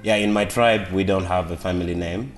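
A man in his thirties with a Ugandan accent, a guest speaking softly in a casual interview and laughing often. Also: deep voice, fairly even intonation, brisk, faint music behind. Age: 30s. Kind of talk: casual conversation.

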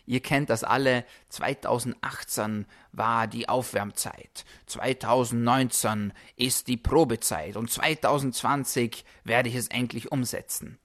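A man's voice: medium pitch, steady tone, measured pace, few pauses, fairly bright tone. A man delivering a motivational podcast monologue as host, emphatic, with rhetorical repetition. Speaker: podcast host, health coach.

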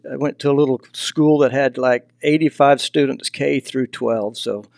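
He is an older man from Texas with a Southern accent. His voice is of average pitch, and he talks in a folksy, anecdotal way, like a guest casually telling stories. The sound is dry, with little room around it. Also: fairly even intonation, moderate pace, gravelly, darkish tone, dry recording. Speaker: podcast guest, hunting mentor and foundation founder. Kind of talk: casual interview storytelling.

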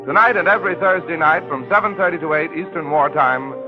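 A man's voice: a little high, fairly quick. A man announcing a 1940s radio program in a scripted, formal and theatrical style. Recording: dull 1940s radio recording. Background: music.

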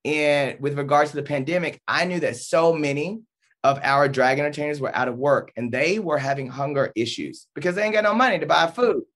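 A man's voice: a little high, a little fast, a bit bright. A man talking as an interview guest, casual and rambling, often restarting his sentences.

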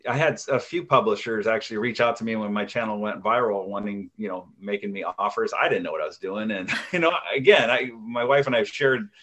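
A man's voice: on the low side, a little fast, hardly any pauses, a bit bright. A man in his fifties chatting as an interview guest, humble and self-deprecating, with many fillers and restarts. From United States. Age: 50s. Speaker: guest, author and video creator. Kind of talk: conversational interview answers.

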